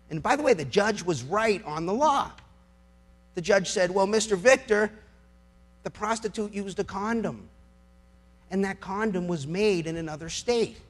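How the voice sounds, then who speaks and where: High-pitched man making an emphatic speech. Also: fairly bright tone. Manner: emphatic, incredulous, rhetorical. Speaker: lawyer giving a public talk. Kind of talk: persuasive political speech on law, with anecdotes and rhetorical questions.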